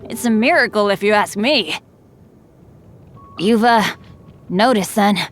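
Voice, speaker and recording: A woman's voice: mid-range voice, a little slow, natural voice. A woman voicing an upbeat, earnest, unlucky teenage boy adventurer for a video game, eager, chatty and self-deprecating. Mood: sheepish, self-deprecating. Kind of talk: video game character voice lines.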